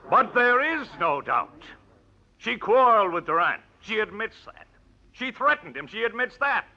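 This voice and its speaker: An actor playing an aggressive, scornful prosecuting attorney with theatrical, emphatic oratory in 1940s radio drama style. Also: male voice, high-pitched, bright, forceful tone, heavily acted. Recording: muffled old radio broadcast recording.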